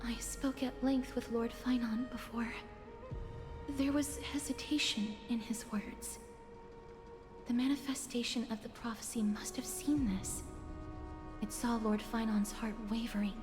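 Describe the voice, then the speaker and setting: An actress playing a gentle, devout priestess in a video game, speaking formally and reverently. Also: female voice, fairly high pitch, steady tone, measured pace, reverberant, long reverb tail, natural voice. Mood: prayerful, worried. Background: music.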